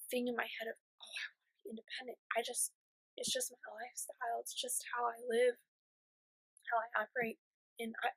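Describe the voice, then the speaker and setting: A woman's voice: fairly high pitch, no room sound, rough recording. A woman losing her voice, talking in a casual, reflective monologue, hesitant and often restarting her thoughts. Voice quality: husky, voice cracking in and out. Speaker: vlogger.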